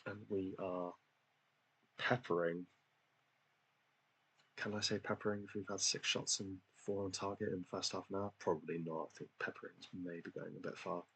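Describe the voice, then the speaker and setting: Low flat guy, gravelly and a bit husky, rambling with lots of ums while playing a football manager game. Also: poor audio. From England. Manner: chatty, hesitant, many ums, self-deprecating. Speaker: gaming channel host. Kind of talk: casual game commentary.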